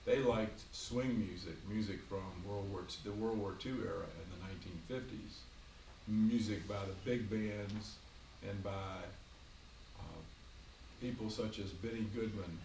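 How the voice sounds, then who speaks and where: Measured older man recalling the past. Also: fairly low pitch, vocal fry, darkish tone, reverberant, distant mic, slight background noise, low-quality recording. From Virginia, USA. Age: 60s. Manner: measured, explanatory, pauses with um and uh. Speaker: grandfather being interviewed. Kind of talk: family interview, casual recollection. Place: home, beside a fireplace.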